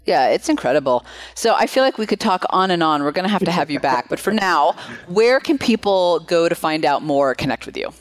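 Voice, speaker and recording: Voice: mid-range voice, expressive, brisk, hardly any pauses, no echo. A podcast host interviewing a guest in a friendly way, asking long, winding questions.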